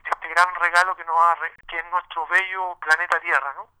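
A man's voice: a little high, level tone, moderate pace, bright, forceful tone, clean tone, low-quality recording. A middle-aged man with a Chilean accent, a musician answering casually in a radio interview, often searching for his words. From Santiago, Chile.

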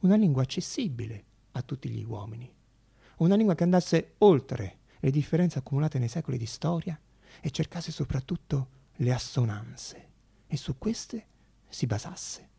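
A male storyteller narrating a theatrical monologue. His voice is dark and husky, with a creaky grain. He speaks in a hushed, deliberate way with dramatic pauses. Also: mid-range voice, animated. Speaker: actor-storyteller.

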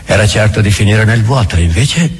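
A man telling a story in a theatrical style, with dramatic pauses and repetitions. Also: lowish voice, lively intonation, a little hiss or hum.

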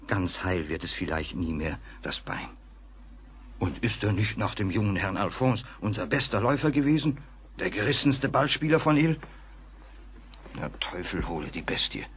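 An actor playing a superstitious, gossipy rural servant in a German radio play, dramatic and exclaiming. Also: male voice, medium pitch, bright, forceful tone, breathy edge, exaggerated voice.

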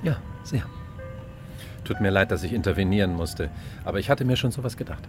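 An actor playing a smug, calculating official, condescending and wry, in a radio crime drama. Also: male voice, deep voice, animated, a little fast, music in the background. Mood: smug, placating. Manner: smooth-talking, condescending, wry. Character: smug, calculating male official, cool and ambitious.

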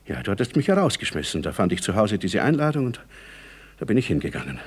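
A quick, portentous, low-voiced fellow. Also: male voice, very animated, husky. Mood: solemn, sheepish. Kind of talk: comedic German radio play acting.

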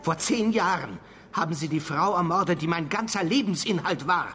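A man's voice: fairly high pitch, animated, moderate pace, a bit bright, breathy edge. An actor in a German radio drama playing a bitter, indignant widower, strained as he confronts his wife's killer. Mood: bitter, accusing.

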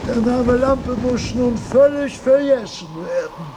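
An actor playing a frail old philosophy professor, pedantic, halting and querulous, in a radio drama. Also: male voice, extremely high pitch, lively intonation, noisy background, exaggerated voice. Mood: offended, resolute. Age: older.

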